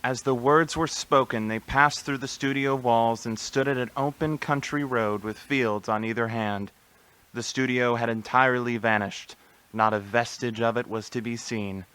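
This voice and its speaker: A man's voice: medium pitch, steady tone, normal speed, a bit bright, clean tone, close to an everyday voice, poor audio. A man reading scripted storybook narration as the narrator of a radio play.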